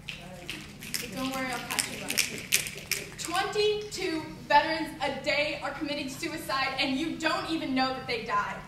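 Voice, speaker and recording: A woman's voice: high voice, very animated, unhurried. A young woman performing slam poetry live, emphatic and declamatory with a rhythmic delivery. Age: teens to young adult. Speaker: slam poet. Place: echoey venue at a high school showcase. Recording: echoey distant live recording, background noise.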